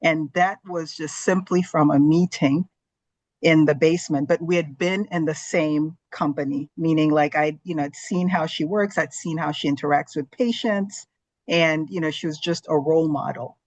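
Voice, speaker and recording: A woman's voice: sits low, steady tone, moderate pace, a little mellow, no room sound. Earnest woman guest, dry close sound. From Zambia. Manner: earnest, reflective, many fillers. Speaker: guest, gastroenterologist. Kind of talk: casual interview talk.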